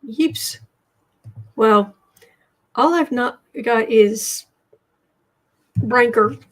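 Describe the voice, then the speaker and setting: An American woman hosting a casual tarot livestream, chatting haltingly as she comments and reads out viewer messages, often repeating her words. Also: a little high, fairly bright tone. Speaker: host of a live tarot and astrology show.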